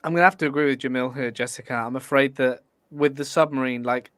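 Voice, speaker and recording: A man's voice: mid-range voice, fairly even intonation, quick pace, a bit bright, dry recording. A man chatting informally and emphatically as a guest in a casual debate.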